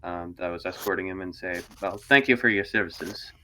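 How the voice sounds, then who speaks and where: Male tabletop gamer, lowish pitch with a forceful edge, casually describing his character's moves. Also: brisk, a little hiss or hum, poor audio. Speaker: player in a tabletop roleplaying game. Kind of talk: casual third-person narration of his character's actions.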